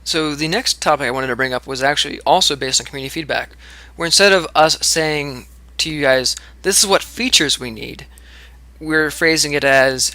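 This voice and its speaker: A man's voice: on the high side, moderate pace, bright, forceful tone, no echo. A man co-hosting a casual podcast chat, upbeat and teasing. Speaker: co-host.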